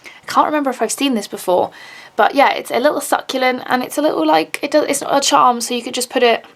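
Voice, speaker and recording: Gushing raspy woman vlogger. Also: sits high, quick pace. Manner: gushing, excitable, many "oh my God" and gasps. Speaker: vlogger unboxing handmade clay charms. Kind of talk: casual unboxing chat.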